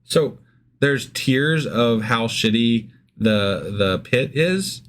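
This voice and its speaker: Man, podcast co-host, medium pitch, rambling, halting phrasing, irreverent, sweary, casual chat. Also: lively intonation, fairly bright tone. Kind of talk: casual Bible discussion.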